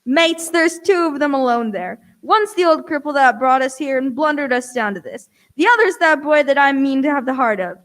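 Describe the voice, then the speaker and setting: A woman playing a hot-headed, mutinous pirate in a radio play, with scornful, rough sailor talk. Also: high voice, animated, normal speed, few pauses, fairly bright tone. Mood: angry, scornful.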